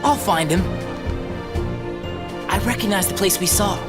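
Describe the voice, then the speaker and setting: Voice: medium pitch, unhurried, loud music behind the voice, close to an everyday voice. An actor voicing an earnest, determined teenage boy hero in a game, with a casual, sincere way of talking. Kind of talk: animated game cutscene acting.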